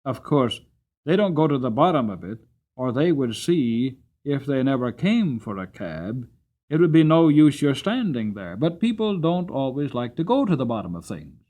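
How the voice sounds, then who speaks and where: A man's voice: medium pitch, big pitch swings, normal speed, mellow. A man voicing an upright, kindly cab driver in a dramatic storybook reading, with a firm, emphatic, moralizing manner. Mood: earnest, insistent.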